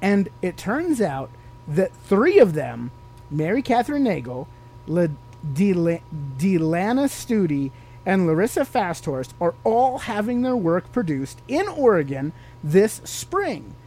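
A man's voice: sits high, very animated, unhurried, dark tone, rough recording. A man with an American accent co-hosting a podcast in a casual, teacherly chat, asking questions and explaining things simply to a child. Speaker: podcast co-host.

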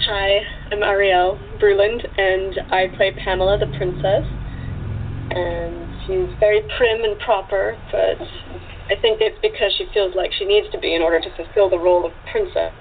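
A woman's voice: medium pitch, fairly even intonation, normal speed. A woman, an actress, chatting casually as an interview guest. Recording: tinny phone-like call with hiss.

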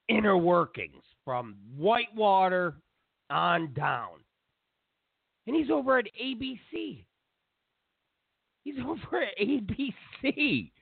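A man's voice: high voice, creaky, dry recording. A man with a cold and a stuffy voice hosts a political talk show in a sarcastic, rambling way. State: congested from a cold. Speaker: show host. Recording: tinny phone-like sound.

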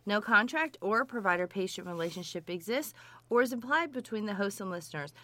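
A woman's voice: mid-range voice, expressive, fairly quick, bright, forceful tone, dry recording. A woman co-hosting a medical podcast, with a scratchy voice and a teasing, giggly manner. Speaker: podcast co-host, nurse midwife. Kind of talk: casual podcast banter.

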